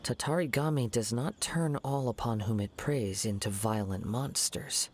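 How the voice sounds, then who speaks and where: A man playing a calm, poetic young swordsman. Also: medium pitch, lightly acted. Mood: measured. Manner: measured, thoughtful and composed. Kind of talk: video game voice acting. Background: game music.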